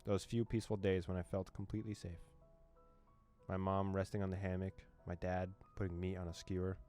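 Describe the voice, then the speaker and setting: A man reading a visual novel's text aloud as a streamer, with quick joking asides. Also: sits low, level tone, warm tone. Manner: reads dialogue aloud, breaks off with joking asides and laughs. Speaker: gaming streamer. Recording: faint game music behind.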